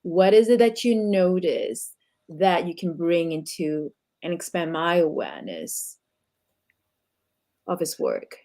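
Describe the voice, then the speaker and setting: A woman from Singapore with a lowish voice, speaking in a reflective, searching way. She co-hosts a relaxed conversation about leadership and asks thoughtful follow-up questions. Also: slow. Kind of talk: conversational podcast interview.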